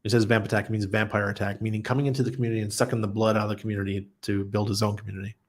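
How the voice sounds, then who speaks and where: A man's voice: lowish voice, little pitch movement, rapid-fire. A man hosting a casual livestream, reading viewer comments aloud and answering them in a blunt, chatty way, often laughing. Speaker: livestream host.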